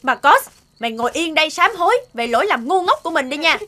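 A woman voicing a cruel, resentful stepmother scolding harshly in an animated fairy tale. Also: high voice, fast talker, slight background noise. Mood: angry scolding. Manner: harsh, contemptuous.

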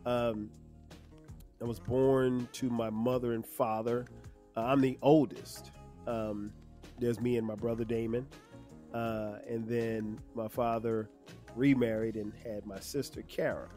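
A man in his fifties from South Carolina, hosting a solo podcast in a relaxed, conversational way with many fillers. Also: mid-range voice, a little slow, soft background music. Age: 50s. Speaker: podcast host. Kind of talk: casual solo podcast monologue.

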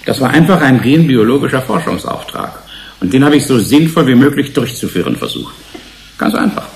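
An actor playing a defensive genetics professor in a German radio drama, brusque and matter-of-fact. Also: male voice, mid-range voice, very animated, a little fast, vocal fry. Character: male genetics professor, dry, defensive, unsentimental scientist. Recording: faint background noise.

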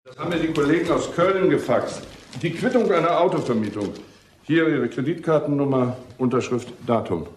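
An actor playing a relentless, sardonic police detective in a crime radio drama, deliberate and accusing with dry irony. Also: male voice, fairly high pitch, animated, close to an everyday voice. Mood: methodical pressing.

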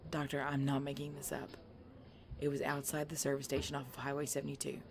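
Woman acting a gravelly-voiced, weary biker girlfriend. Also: a bass voice, completely flat delivery, fast, noisy background. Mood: weary, pained. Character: tough, weary, injured young biker girlfriend, protective of boyfriend. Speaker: roleplay audio performer. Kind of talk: ASMR girlfriend roleplay audio drama.

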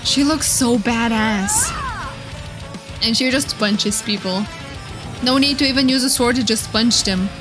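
A woman streamer giving excited, casual reaction commentary in a playful, flirty, gushing way. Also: fairly high pitch, unhurried, pauses often. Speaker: streamer reacting to game trailers. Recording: loud music behind. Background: game trailer music and sound.

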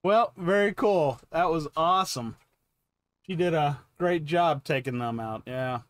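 A man in his fifties with a fairly high voice chats to viewers as a reaction host. His remarks are short and informal, recorded close and dry on a mic in a small home room. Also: unhurried, fairly bright tone, dry recording. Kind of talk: casual commentary to viewers. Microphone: close mic on boom arm.

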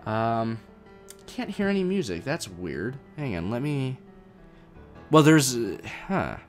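A man's voice: medium pitch, very animated, takes it slowly. A young man casually answering viewer questions about his game mod on a live stream, reading chat questions aloud and rambling a little. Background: faint game music.

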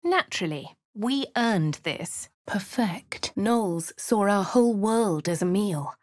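A woman with a posh English accent giving refined, measured first-person narration for a game character. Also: mid-range voice, expressive, dry recording. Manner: refined, measured, clipped delivery. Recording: dry studio voice-over.

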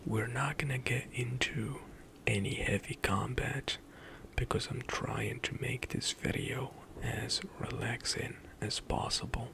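Slow whispering young man, gravelly. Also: medium pitch, fairly even intonation. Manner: soft whispered rambling with light laughs. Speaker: ASMR gaming streamer. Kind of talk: whispered ASMR gameplay commentary. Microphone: close studio mic, headset on.

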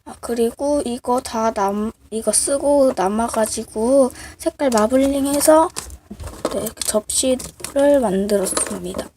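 A woman with a cold that makes her voice sound off, casually showing handmade crafts in a halting, apologetic, self-deprecating way. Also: on the high side, dark tone. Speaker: craft video creator. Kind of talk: casual show-and-tell of handmade clay miniatures. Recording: noisy amateur recording with clicks.

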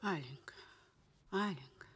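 A woman's voice: on the low side, lively intonation, measured pace, a bit bright, breathy edge, heavily acted. An actress playing a sympathetic woman consoling her colleague in a Soviet radio drama, soothing and emotional. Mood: consoling, pained. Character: sympathetic, worn-out female colleague consoling a friend.

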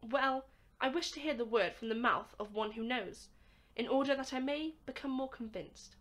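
Woman playing an earnest young male cadet, bright-voiced. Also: high-pitched, normal speed. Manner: earnest, formal. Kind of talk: amateur radio drama acting.

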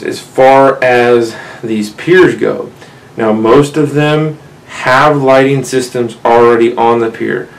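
Casual outdoors host in his thirties, gravelly and mid-pitched, talks to viewers at a desk webcam. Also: poor audio. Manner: conversational, addresses viewers as guys, frequent "right?". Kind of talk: casual gear tutorial to camera. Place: small indoor room, seated at desk.

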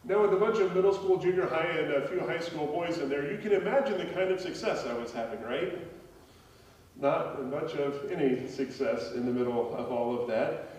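A pastor in his forties preaches from the pulpit in a relaxed, storytelling way. His voice sits a little high for a man. He is heard from a distance, with a long echo around the church. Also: moderate pace. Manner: conversational storytelling with gestures, frequent fillers. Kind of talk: sermon. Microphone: pulpit microphone, filmed by a far camera. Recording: echoey church hall, voice from a distance.

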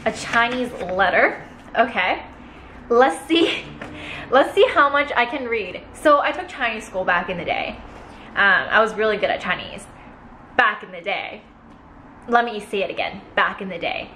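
A young woman chatting casually in a food vlog, unboxing gifts on her sofa and reading aloud haltingly while laughing at herself. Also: mid-range voice, animated, bright, forceful tone, roomy sound, slight background noise. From Canada. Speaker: food vlogger. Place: living room, seated on a sofa.

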